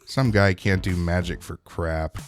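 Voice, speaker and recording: A man's voice: deep voice, steady tone, measured pace, music in the background. A man narrating a casual, colloquial recap as host, with wry, joking asides. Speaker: host of a TV episode recap show.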